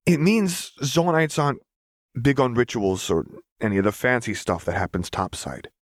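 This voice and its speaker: A man performs a brash young man from the rough side of the city in an audiobook. He talks in casual, emphatic slang, exasperated and wry. The sound is close and dry. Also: mid-range voice, moderate pace, dry recording, pitched above the performer's own voice, lightly acted. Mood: earnest, pressing. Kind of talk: audiobook character dialogue.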